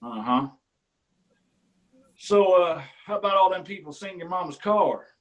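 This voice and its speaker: An actor reading the part of a prying county sheriff in a staged play reading, drawling and falsely friendly. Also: male voice, high voice, animated, measured pace, muffled recording. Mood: smug. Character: rural county sheriff, middle-aged man, prying and smug.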